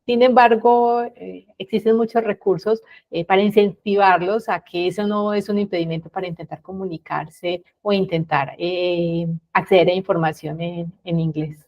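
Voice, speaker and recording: A woman's voice: lowish voice, fairly even intonation. Woman prof, honest and careful, lots of ehs, kinda dull muffled sound. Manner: candid, measured, with hesitations. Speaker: professor and panelist. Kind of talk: panel discussion. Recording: slightly dull, muffled audio.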